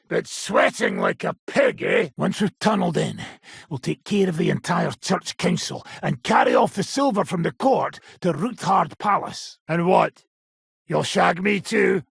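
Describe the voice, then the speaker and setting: Raspy, swaggering medieval mercenary captain. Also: male voice, a little high, dry recording, studio quality, heavily acted. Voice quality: raspy, gravelly. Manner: blunt, swaggering, earthy swearing. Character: gruff, foul-mouthed mercenary nobleman captain, cynical and cocky. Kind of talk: video game character acting. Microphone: close, dry studio microphone.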